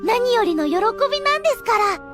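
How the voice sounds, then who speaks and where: An actress playing a meek young elf boy in an anime audio drama, hesitant and softly polite with a stammer. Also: very high-pitched, sing-song, a little fast, music in the background. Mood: meek, nervous.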